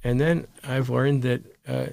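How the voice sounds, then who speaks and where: A man speaking as an interview guest and meditation teacher, in a conversational, halting way that repeats words and phrases. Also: mid-range voice, steady tone, creaky, darkish tone.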